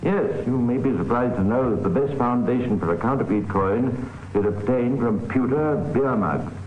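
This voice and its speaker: A man's voice: mid-range voice, moderate pace, lightly acted. A man playing an authoritative narrator and guide in an old radio crime drama. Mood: worldly, explanatory. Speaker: narrator and host. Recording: dull, echoey, noisy old radio recording.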